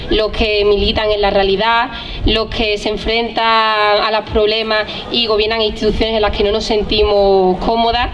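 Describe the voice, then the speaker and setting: A woman with a Spain Spanish accent speaks as a debate moderator, her voice congested from a cold. Her pitch stays level and she often halts to repeat a word before going on. She is heard from a distance through a dull recording, with faint room ambience behind her. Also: background noise. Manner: halting, often repeating words as she searches for phrasing. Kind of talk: introducing a debate at a workshop.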